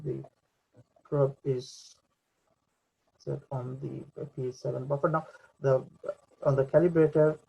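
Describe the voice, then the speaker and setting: A young man giving an unscripted voice-over for a software demonstration, with hesitant, halting delivery. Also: medium pitch, little pitch movement, creaky, slightly rough. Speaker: presenter demonstrating a VR training simulation. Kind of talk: unscripted screen demo voice-over. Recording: dull lo-fi computer mic recording.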